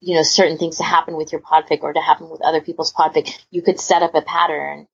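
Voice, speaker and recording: Woman podcast host, slightly low pitch, casual rambling talk, enthusiastic, muffled lo-fi recording. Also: flat delivery, fast, vocal fry, husky. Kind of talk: casual chat about hobby organisation.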